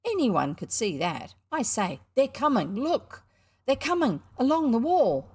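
A scornful, cocky schoolboy barking at his audience, his voice pitched high and swinging up and down, put on by a woman reading aloud. He stays loud and indignant, full of showman's bluster. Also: sing-song, unhurried, breathy edge, exaggerated voice. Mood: boastful.

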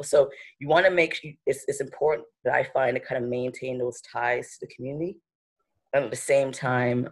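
A young woman speaking as a panelist on a video call from her living room. She is thoughtful and often restarts her sentences while she searches for words. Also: very deep voice. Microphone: laptop webcam on a video call.

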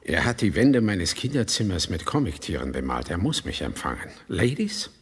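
A man's voice: low-pitched, very animated, normal speed, slightly rough, heavily acted. An actor playing a determined, courteous male outsider in a radio drama. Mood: insistent.

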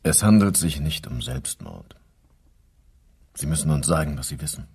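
An actor playing a blunt, inquisitive police inspector in a German crime radio play, husky and direct, with clipped remarks. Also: male voice, extremely low pitch, animated, moderate pace, a little mellow, exaggerated voice. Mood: pressing.

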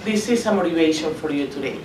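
A middle-aged woman from Colombia with a Colombian accent, a native Spanish speaker, giving an emotive, deliberate motivational talk to parents and children and repeating phrases for emphasis. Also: low voice, expressive. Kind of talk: motivational presentation. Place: school classroom, indoors. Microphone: camera some meters away. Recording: roomy, noisy classroom, distant mic.

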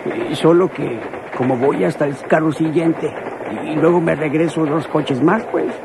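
A creaky-voiced Mexican train vendor. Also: male voice, a little high, steady tone, a little slow. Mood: courteous. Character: courteous, hesitant train news and soda vendor. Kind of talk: Mexican radio soap opera acting. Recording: noisy old radio drama recording.

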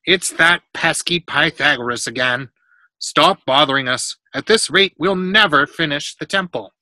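Man reading as a loud, grumbling workman. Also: on the high side, measured pace, no echo, voice pushed up, rough recording. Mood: grumbling, irritated. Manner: loud, exasperated complaining. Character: grumbling, short-tempered ancient Greek workman in a storybook.

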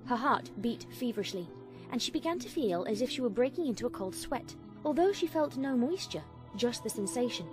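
A woman's voice: fairly high pitch, very animated, a little fast, music in the background. A woman reading a scripted story as a dramatic fan fiction narrator.

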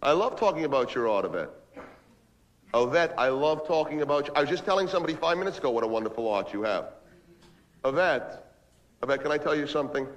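Actor as a volatile, animated office boss. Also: male voice, medium pitch, highs cut off, close to an everyday voice. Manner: repetitive, badgering, swinging between ranting and smooth-talking. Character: volatile, manipulative, foul-mouthed male office boss on phone calls.

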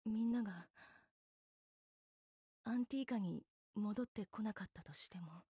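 An actress playing a composed, princely young woman idol in a Japanese game, speaking politely and with gentle reassurance. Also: mid-range voice, unhurried, breathy edge, no echo, clean studio sound. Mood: troubled, apologetic. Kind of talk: Japanese mobile game visual novel acting.

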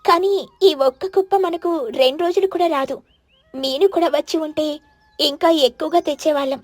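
A woman's voice: sits high, normal speed, no room sound, pitched above the performer's own voice, natural voice. A woman voicing a kind, hardworking mother sparrow in a bird cartoon, speaking earnestly and soothingly. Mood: tired, relieved.

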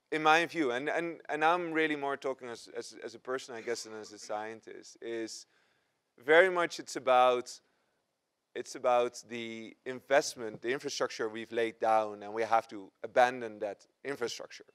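A man, answering on a conference panel with lively gestures and frequent restarts. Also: medium pitch, clean tone. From Netherlands. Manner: animated, gesturing, many restarts. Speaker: panel scientist. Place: conference stage, seated in armchair. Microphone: lapel.

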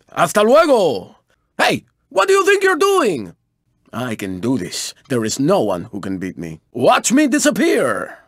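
Flamboyant Spanish-accented race car, wildly animated. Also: male voice, on the high side, husky, dry recording, clean studio sound, exaggerated voice. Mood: taunting. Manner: boastful, dramatic, exclamatory. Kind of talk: theatrical video game character barks.

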